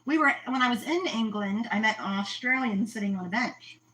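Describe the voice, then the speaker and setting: Chatty woman host, crisp bright voice, loves telling stories. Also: on the high side, fairly quick, low-quality recording. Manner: chatty, storytelling, laughs often. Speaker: host of an aquarium livestream. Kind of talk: casual chat.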